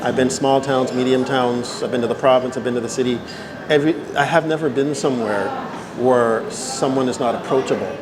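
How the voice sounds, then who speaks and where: Man, 50s, from Canada, chatty interview guest, seeks agreement, mid-pitched, crowd noise behind. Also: steady tone, a little fast. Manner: talkative, repeats "right?" for agreement. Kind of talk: casual interview answers.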